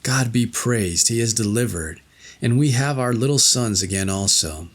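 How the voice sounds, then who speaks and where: A man's voice: mid-range voice, normal speed, close to an everyday voice. A man voicing a devout fairy tale king in formal, earnest storybook speech. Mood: grateful.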